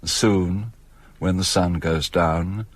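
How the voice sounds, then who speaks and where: Sinister, courtly villain, very low and unhurried, coldly mocking, radio play. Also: male voice, fairly even intonation, heavily acted. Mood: gloating. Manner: slow, menacingly polite, formal old-world phrasing. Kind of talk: radio drama acting.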